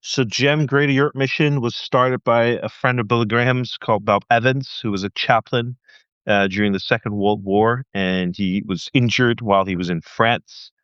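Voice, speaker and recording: A man in his thirties who grew up in Thailand, a podcast guest in casual interview chat, talking in a chatty, joking way with quick asides. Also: medium pitch, fairly even intonation, a bit bright, clean tone, no echo, highs cut off. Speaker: podcast guest, missionary leader.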